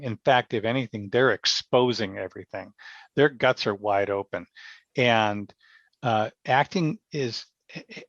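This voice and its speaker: Man, interview guest, creaky voice, explanatory and anecdotal, halting starts, dry slightly muffled recording. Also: mid-range voice, no echo. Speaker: podcast guest, stage actor and director. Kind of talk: casual interview talk.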